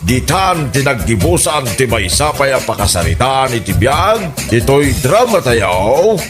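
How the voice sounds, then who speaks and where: Loud electronic music runs under a man announcing with an Ilocano accent. He reads a scripted drama sign-off slowly, with lively swings in pitch.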